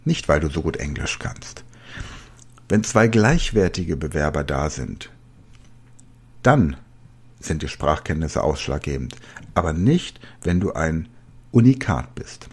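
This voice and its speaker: A man's voice: extremely low pitch, big pitch swings, unhurried, pauses often, husky. A man in his forties hosting a solo podcast, coaching listeners directly in a conversational way with casual asides. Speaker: podcast host and language trainer.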